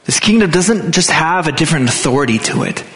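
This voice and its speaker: An American man with a slightly high voice delivers a sermon. He speaks in short, emphatic phrases and leaves deliberate gaps between them for an interpreter. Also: lively intonation, a bit bright. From United States. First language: English. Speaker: preacher.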